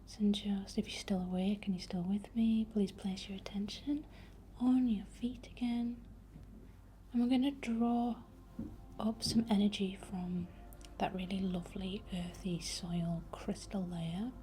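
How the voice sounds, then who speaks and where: Softly whispering woman, mellow ASMR voice. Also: medium pitch, steady tone, a little hiss or hum. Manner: soft, breathy whispering, soothing and unhurried. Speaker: ASMR host leading a healing session. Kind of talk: whispered ASMR guided meditation.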